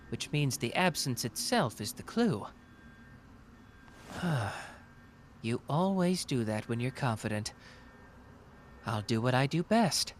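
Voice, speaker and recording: A man acts out a smug young male detective in an anime audio drama. His voice sits on the high side and swings in pitch as he teases, pausing theatrically with total self-assurance. Background noise and ambience run under the recording. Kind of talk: scripted anime roleplay ASMR audio drama.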